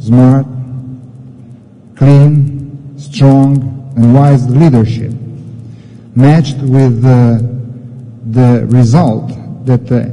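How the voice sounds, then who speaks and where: A man in his fifties with an Italian accent, a military commander giving a formal speech in halting, non-native English and repeating words. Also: medium pitch, warm tone. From Italy. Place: large indoor ceremony hall. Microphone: podium microphone. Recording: reverberant hall sound.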